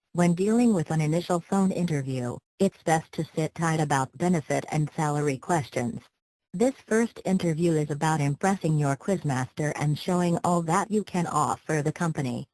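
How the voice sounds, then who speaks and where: A woman with a low-pitched voice reads scripted advice. Her delivery is instructional yet conversational, with a playful, wry edge. The recording is dry, with very little room sound. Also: a little mellow, dry recording, rough recording. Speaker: narrator of a career advice video. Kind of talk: scripted tips narration, light conversational wording.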